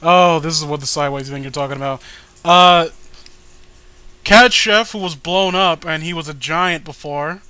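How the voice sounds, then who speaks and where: A man's voice: fairly high pitch, normal speed, pushed and bright, clean tone, no room sound. A man giving casual, jokey and crude commentary as he reads a webcomic with a friend. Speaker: host reading a webcomic with a friend.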